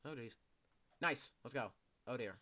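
A young man giving casual, excitable commentary while playing a video game, swearing often and yelling when chased. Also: mid-range voice, unhurried. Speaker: gameplay commentator. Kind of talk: casual live gameplay commentary.

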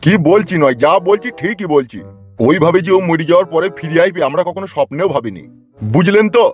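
A man's voice: fairly high pitch, lively intonation, quick pace, close to an everyday voice. A man voicing a gossiping, quarrelsome village man in a comedy cartoon, with a teasing, argumentative, slangy delivery. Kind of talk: Bangla comedy cartoon dialogue. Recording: dull lo-fi sound over music.

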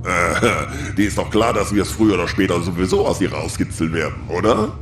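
An actor playing a grumbling, fatherly older spaceship crewman, wry and weary, with sighs and drawn-out interjections. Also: deep voice, very animated. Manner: groaning, sighing, drawn-out interjections. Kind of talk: audio drama acting. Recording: roomy, loud music behind.

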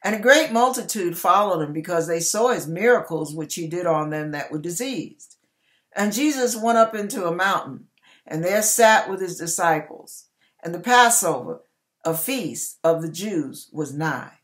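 A woman's voice: on the low side, lively intonation, normal speed. Middle-aged woman reading scripture emphatically. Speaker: online church minister reading scripture. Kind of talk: reading Bible scripture aloud. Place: small indoor room with curtain.

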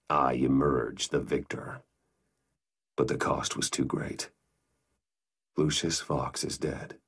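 An actor playing a brooding, stern masked vigilante in a video game, speaking grimly and tersely in a gravelly, husky voice. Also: male voice, rumbling low, distant mic, heavily acted. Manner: grim, terse. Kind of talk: dramatic video game acting.

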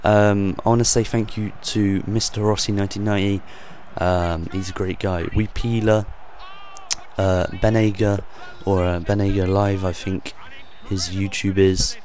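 A young man with a croaky voice hosting his gaming channel, rambling off the cuff with lots of ums and uhs over game footage. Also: low voice, little pitch movement, measured pace, darkish tone, a little hiss or hum.

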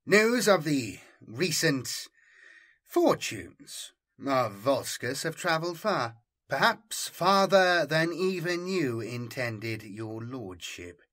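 Man voicing a pompous, bright-voiced rogue trader. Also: mid-range voice, lively intonation. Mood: courteous, sly. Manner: pompous, formal, measured. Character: pompous male rogue trader envoy, smoothly formal and courtly. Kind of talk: audiobook character dialogue.

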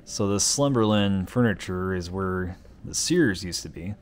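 A man reading a mall's history aloud and making quiet offhand remarks while walking through a large, empty indoor mall. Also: low-pitched, expressive, unhurried. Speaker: mall explorer vlogger.

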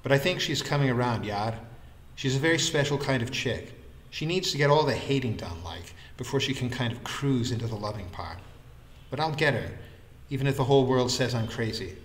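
A man voicing an exuberant, warm-hearted young man in an audiobook, excitable and slangy. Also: medium pitch, fairly quick, reverberant, in a large hall, a little hiss or hum, close to an everyday voice. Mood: lovestruck, determined.

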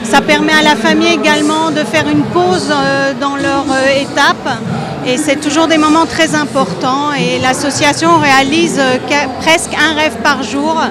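A woman's voice: sits high, fairly even intonation, normal speed. A woman representing a charity, explaining earnestly into a handheld mic in a large indoor arena. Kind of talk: interview answers. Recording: music and crowd noise behind.

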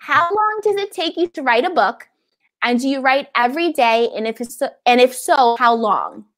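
A woman's voice: sits high, expressive, moderate pace, fairly bright tone. A woman in her thirties from New York City, chatty and effusive, hosting a casual interview over a video call and reading out audience questions. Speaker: library event host, interviewer.

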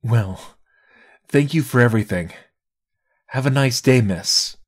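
Reserved young man, unhurried, medium pitch, polite, courteous, visual novel game. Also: husky.

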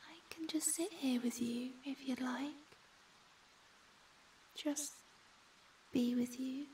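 Casting: female performer for a shy young female ghost, high, mellow whisper, tender and hesitant, ASMR roleplay. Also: fairly even intonation, slow pace, distant mic, slight background noise, poor audio. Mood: tender, soothing. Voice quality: soft, breathy, whispery.

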